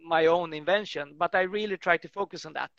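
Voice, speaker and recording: A man's voice: a little high, a little fast, creaky, fairly bright tone, no room sound, muffled recording. A man speaking English as an in a casual interview, rambling with many restarts and fillers. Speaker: guest, tree work business owner.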